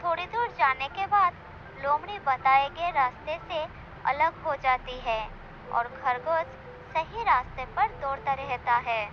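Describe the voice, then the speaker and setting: A female storyteller narrates a scripted children's fable. Her voice is high. The recording sounds dull and lo-fi, with noticeable noise in the background. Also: unhurried.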